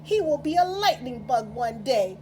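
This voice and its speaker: Woman voicing a sad little boy cricket, high-pitched. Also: very animated, moderate pace, darkish tone, a little hiss or hum. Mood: plaintive, wistful. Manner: plaintive, protesting.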